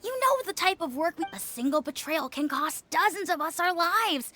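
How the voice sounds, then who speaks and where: A woman's voice: very high voice, animated, normal speed, bright, forceful tone, slight background noise, exaggerated voice. A woman voicing an earnest young girl informant who reports on her housemates in a game. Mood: accusing. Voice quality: matter-of-fact, tattling. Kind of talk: game voice acting.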